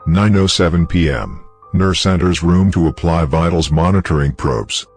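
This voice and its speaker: Man acting low-voiced clinical researcher, detached. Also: moderate pace, darkish tone, faint music behind. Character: clinical male researcher reading sleep study log. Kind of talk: scripted horror story narration, case report.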